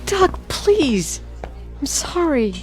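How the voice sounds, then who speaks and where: Worried, expressive girlfriend. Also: high-pitched, extremely slow, exaggerated voice. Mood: hurt. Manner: insistent, earnest. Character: worried, probing, wounded girlfriend of an editor. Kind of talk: radio drama acting. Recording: radio drama with music underneath.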